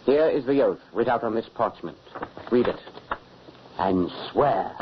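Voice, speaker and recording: A man's voice: on the low side, expressive, a little slow. An actor playing a stern, ominous father of a bride, commanding in 1940s radio drama style. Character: stern, menacing stranger, father of the bride, demanding an oath. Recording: muffled, hissy old radio recording.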